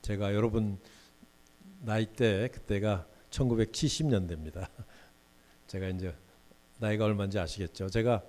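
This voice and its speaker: A man's voice: fairly low pitch, moderate pace, long pauses, darkish tone. A man in his sixties giving a guest lecture in a university hall, chatty and often putting questions to the audience. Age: 60s.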